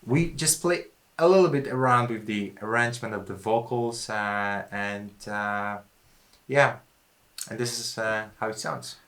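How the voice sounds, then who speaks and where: A man's voice: lowish voice, measured pace, a bit bright. A man who produces music, chatting casually and loosely about studio work, often adding "you know".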